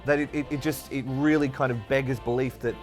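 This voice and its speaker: A man's voice: mid-range voice, steady tone, fairly quick, faint music behind. An Australian man co-hosting a video game show, discussing gaming news in a conversational way.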